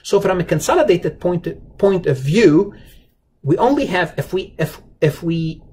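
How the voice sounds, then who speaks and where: Higher-voiced man lecturing, with background noise. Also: expressive, measured pace, warm tone. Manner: emphatic repetition, rhetorical questions, talks to the learner directly. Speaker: accounting lecturer. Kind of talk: explanatory lecture over slides, informal and conversational.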